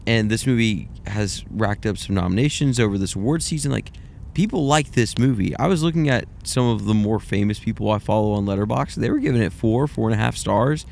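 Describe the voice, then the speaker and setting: A man's voice: on the low side, lively intonation, brisk, creaky, a little mellow, a little hiss or hum. A young man co-hosting a casual film podcast, rambling and hedging as he gives his take. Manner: rambling, hedging, self-deprecating. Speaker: podcast co-host. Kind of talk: casual film review chat.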